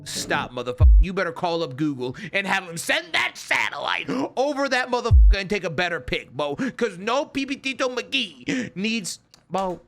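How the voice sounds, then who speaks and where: Animated American man reacting bluntly. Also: high-pitched, normal speed, creaky, soft background music. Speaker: reaction video host. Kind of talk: casual reaction commentary, slangy and profane.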